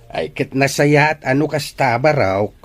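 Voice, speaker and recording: A man acting a gentle, wise old father who gives advice in a radio-style drama. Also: medium pitch, measured pace, loud music behind the voice, close to an everyday voice. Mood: tender advice.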